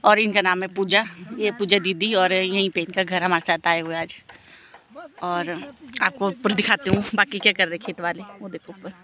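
A woman's voice: on the low side, level tone, moderate pace, pushed and bright. A woman, chatting casually as a family vlogger into a handheld phone. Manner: addresses viewers and family directly. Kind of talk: casual vlog chat. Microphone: phone at arm's length. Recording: handheld phone with background noise.

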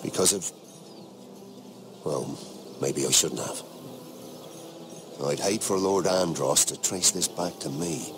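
An actor playing a courteous, wary middle-aged lord in a fantasy game, confiding gossip discreetly. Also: male voice, low voice, takes it slowly, long pauses, background noise. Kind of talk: dramatic fantasy game acting.

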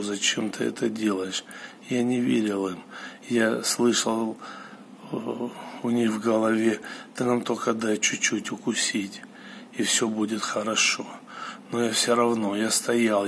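A man tells a personal story in a solemn, halting way, breaking it into short phrases. His voice sits fairly low and stays very flat in pitch. Clear background noise runs under the recording. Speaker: storyteller recounting a mystical experience. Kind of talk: slow personal monologue.

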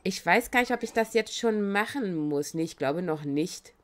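A woman streaming a video game, chatting in a casual, excitable and giggly way. She has a cold that makes her voice slightly raspy. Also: fairly low pitch, moderate pace. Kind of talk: casual let's-play commentary.

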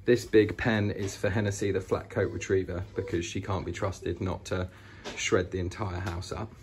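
Low-voiced British man giving a house tour. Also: steady tone, moderate pace. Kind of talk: casual walk-through narration. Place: inside an old house, walking between rooms. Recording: noisy indoor handheld home recording.

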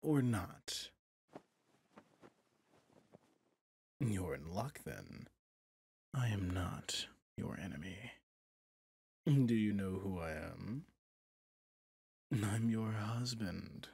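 A possessive villain prince played by a man murmurs to his bride in a low, very breathy and husky voice. He is smug and coaxing, tender with menace underneath. It is an intimate ASMR roleplay, recorded close and dry. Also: lively intonation, a little mellow, no room sound, exaggerated voice. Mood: persuasive, insistent, then possessive, seductive. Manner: slow, seductive, menacingly tender.

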